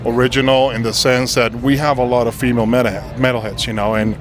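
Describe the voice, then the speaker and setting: A man's voice: mid-range voice, little pitch movement, quick pace, noisy background. A man interviewing a band, asking long, winding questions.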